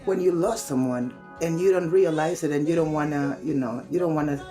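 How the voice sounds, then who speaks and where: A woman's voice: low voice, a little fast, mellow, faint music behind. A woman from Spain, a native Spanish speaker, answering interview questions emphatically, repeating herself and saying "you know" often. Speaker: interviewed singer.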